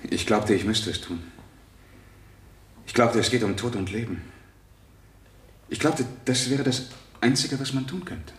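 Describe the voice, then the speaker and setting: A man's voice: medium pitch, expressive, slight background noise, natural voice. An actor playing an anxious, hesitant husband and father testifying at his trial in a radio play. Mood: troubled, subdued. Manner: hesitant, halting, searching for words. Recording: echoey room, 1970 radio play.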